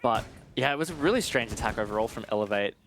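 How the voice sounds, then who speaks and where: A man's voice: medium pitch, animated, quick pace, a bit bright, soft background music. A man giving conversational, analytical live commentary on an esports match, explaining things in a chatty way. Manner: chatty, explanatory, checks in with "right?".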